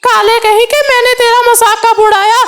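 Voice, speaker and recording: Woman voicing a very high-pitched, touchy cartoon bird. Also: flat delivery, moderate pace, a little hiss or hum. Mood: quarrelsome. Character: touchy, quarrelsome male cartoon bird, crow or parrot. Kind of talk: Hindi children's cartoon dialogue.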